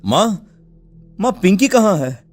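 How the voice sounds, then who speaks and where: Distraught cartoon father acted by a man, high-pitched, anguished, melodramatic, soft music behind. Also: big pitch swings, slow, heavily acted. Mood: panicked. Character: distraught poor village father. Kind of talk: Hindi cartoon story acting.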